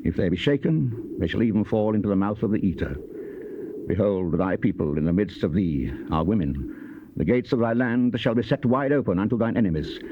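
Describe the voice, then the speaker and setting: A man's voice: fairly low pitch, lively intonation, fairly quick, natural voice. A man acting a stern prophet who proclaims wrathful doom in a solemn, emphatic, declamatory dramatized scripture reading. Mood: menacing, solemn. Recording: dull cassette tape with loud noise.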